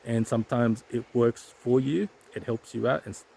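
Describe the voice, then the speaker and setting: A man's voice: mid-range voice, steady tone, moderate pace, vocal fry, warm tone, slight background noise, rough recording. A man vlogging outdoors on a hike, talking casually about his stutter with frequent repeated sounds and restarts. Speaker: YouTuber sharing stuttering advice. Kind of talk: casual vlog talk to camera. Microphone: weak handheld setup, shaky.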